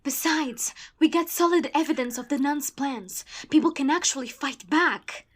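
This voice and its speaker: A woman's voice: sits high, moderate pace, natural voice. An actress playing a headstrong, defiant young woman squad leader in an audio drama. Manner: defiant, impulsive.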